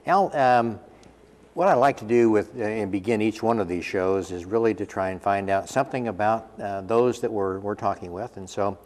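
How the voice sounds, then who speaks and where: Low-voiced male host in an echoey studio. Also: normal speed, a little mellow. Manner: friendly, restarts and fillers. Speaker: host, chapter executive director. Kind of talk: hosting a local TV interview. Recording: echoey studio with faint hiss.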